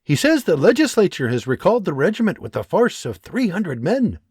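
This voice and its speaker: A man doing an excitable young frontier scout. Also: on the high side, moderate pace, husky, no echo, clean studio sound, pitched above the performer's own voice. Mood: spirited. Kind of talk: audiobook character voice.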